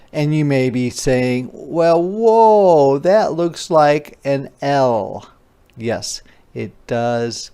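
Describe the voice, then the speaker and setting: A teacher reading aloud to young children in an interactive phonics lesson, deliberately repeating sounds and words and adding simple explanations. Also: medium pitch, mellow, clear, resonant tone. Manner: child-directed explanatory asides.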